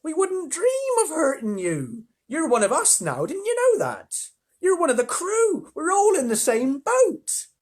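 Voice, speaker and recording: A man voicing a kind, motherly ladybird in a high, gentle, slow voice. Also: huge pitch swings, full character voice. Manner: gentle, soothing, drawn-out.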